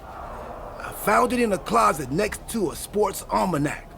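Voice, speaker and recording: A man playing an excitable, goofy, scatterbrained pro wrestler in a video game cutscene, earnestly delivering absurd ideas. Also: high-pitched, a little slow, creaky, slight background noise, full character voice. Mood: proud, matter-of-fact.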